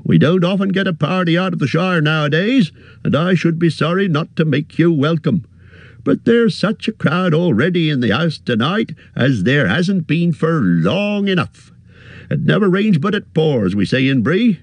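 A man reading aloud and voicing a fat, bustling, forgetful middle-aged innkeeper in a high, flustered voice. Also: animated, moderate pace, no echo. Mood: harried. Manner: flustered, chattering, high-pitched.

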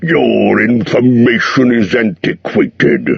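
A man voicing an ancient, huge, boastful male dragon, slow and gloating. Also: medium pitch, very animated, vocal fry, husky, no room sound, voice pushed up, full character voice. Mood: snapping. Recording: dull lo-fi sound.

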